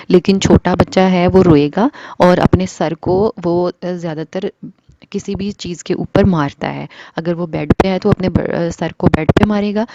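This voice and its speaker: Woman presenter, baby-care explainer, mellow low voice, dry close sound. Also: dry recording, muffled recording. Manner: patient step-by-step explaining, mixing in English phrases. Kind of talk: explanatory talk to parents.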